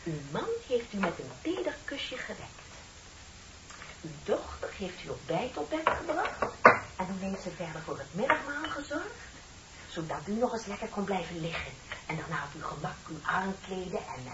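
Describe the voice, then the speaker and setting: An actress playing a chatty, flattering office secretary in her thirties in a radio play, with a polite, effusive manner. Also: medium pitch, lightly acted. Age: 30s. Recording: dull, noisy old radio recording.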